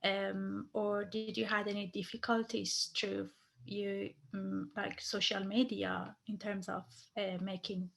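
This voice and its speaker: Slow, gravelly woman with an Iranian accent. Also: medium pitch, level tone, a bit bright. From Iran. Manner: hesitant, halting, with many fillers. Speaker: audience member asking a question.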